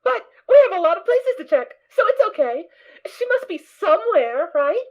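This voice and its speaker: A woman's voice: very high voice, very animated, normal speed, heavily acted. A woman voicing a cheerful, hyperactive little filly pony in a fan audio drama, bubbly and childlike. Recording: dull lo-fi recording.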